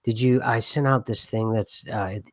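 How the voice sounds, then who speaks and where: A man's voice: fairly low pitch, fairly even intonation, normal speed, no room sound, clean studio sound. A man co-hosting a casual podcast, rambling with many restarts, who has a cough. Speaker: podcast co-host. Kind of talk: casual conspiracy podcast chat.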